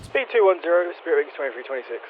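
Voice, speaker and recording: A man's voice: a little high, big pitch swings, rapid-fire, a little hiss or hum. A man giving clipped pilot readbacks over the radio. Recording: dull lo-fi radio transmission.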